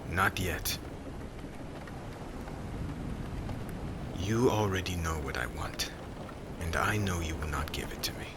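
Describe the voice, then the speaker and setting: A man playing a stern, cold witch hunter in an ASMR roleplay, speaking in a solemn, formal, menacing way that often drops to a hush. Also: low-pitched, normal speed, heavy background noise, lightly acted. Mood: cold, grim. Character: stern male witch hunter captor, cold, grudgingly admiring. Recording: heavy rain and thunder ambience behind. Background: rain and thunder sound effects.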